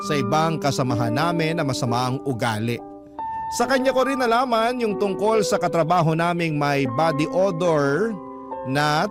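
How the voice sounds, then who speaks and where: Filipino guy radio storyteller, kinda high voice, reading out a love story letter, music behind. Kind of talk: first-person dramatic story narration, reading a listener's letter. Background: background music.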